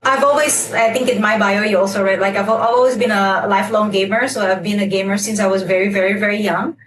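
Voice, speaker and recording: A woman's voice: medium pitch, steady tone, fast talker, crowd noise. A woman from Indonesia, a game designer, talking casually as a panel guest, often restarting words and laughing easily. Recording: echoey and distant with faint noise.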